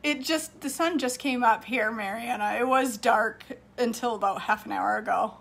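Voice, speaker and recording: Chatty bright-voiced woman in her forties. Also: a little high, creaky, breathy edge. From Maine, USA. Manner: chatty, rambling, quick to laugh. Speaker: home cook and livestream host. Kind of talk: casual live-stream chat answering viewer comments. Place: home kitchen, indoors. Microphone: phone camera at arm's length.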